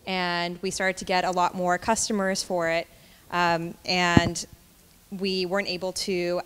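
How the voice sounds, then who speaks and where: A woman's voice: on the low side, fairly bright tone, smooth. A woman product manager explaining her work as a panelist, recorded with long hall reverb.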